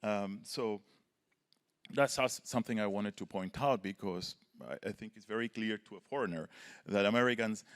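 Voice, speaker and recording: A man from Chile, giving earnest panel remarks as a professor and often ending points with a quick "right?". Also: on the low side. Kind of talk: panel talk, prepared remarks.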